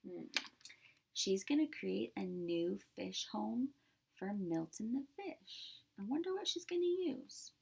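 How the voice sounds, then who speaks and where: Expressive woman reading aloud, slow and lively. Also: mid-range voice, vocal fry, slightly rough. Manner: expressive storytelling, emphasizes words, asks children questions. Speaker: pre-K teacher. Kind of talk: read-aloud to young children with explanations and questions.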